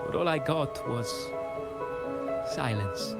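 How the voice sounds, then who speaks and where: A man's voice: medium pitch, expressive, takes it slowly, gravelly. A man voicing an embittered, defiant ruined merchant in a video game, aggrieved and emphatic. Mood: bitter, defiant. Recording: background music under the voice.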